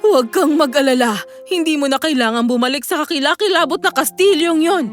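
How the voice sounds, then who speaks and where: Woman acting a storybook maiden, very high voice, theatrical, exclamatory, music underneath. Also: brisk, natural voice. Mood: concerned. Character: young women and mothers in a fairy tale, dramatic. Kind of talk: dramatized children's fairy-tale voice acting.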